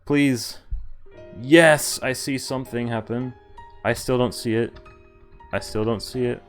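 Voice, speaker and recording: A man's voice: mid-range voice, fairly even intonation, unhurried. A man giving casual live commentary as a game streamer, muttering wry, mildly exasperated asides. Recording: music playing behind the voice. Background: video game music.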